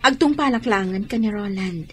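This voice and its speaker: An easygoing female friend played by an actress in an Ilocano radio drama, mid-pitched and practical. Faint ambient noise sits behind her voice. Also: moderate pace, fairly bright tone, lightly acted. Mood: practical, upbeat.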